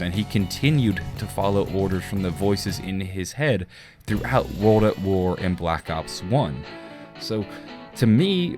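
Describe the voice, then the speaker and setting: Male YouTube narrator, low register, emphatic scripted explainer delivery, clear guitar backing. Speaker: video game lore YouTuber. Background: guitar music.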